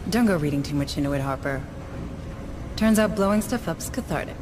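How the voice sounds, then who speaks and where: An actress playing a composed, earnest station administrator in a sci-fi video game, speaking in a measured, sincere way. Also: female voice, low voice, animated, music in the background. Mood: concerned. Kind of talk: cinematic video game acting.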